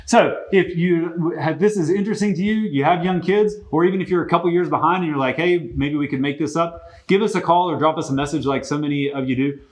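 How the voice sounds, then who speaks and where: Male business-chat host, voice a bit higher than average, conversational, explanatory, gently teasing. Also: fast, few pauses, rough recording. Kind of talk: casual business interview.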